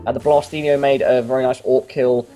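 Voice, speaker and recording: Young man, casual game commentary, excitable, faint music behind. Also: medium pitch, fairly quick. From London. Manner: chatty, jokey, excitable on big plays. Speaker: amateur game caster.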